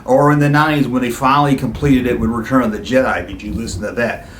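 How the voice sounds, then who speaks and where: A man's voice: mid-range voice, fairly even intonation, fast. A middle-aged man hosting a casual chat for viewers, reminiscing in a rambling, nostalgic way with frequent asides. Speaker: host of a fan video channel. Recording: roomy echo, clear background noise.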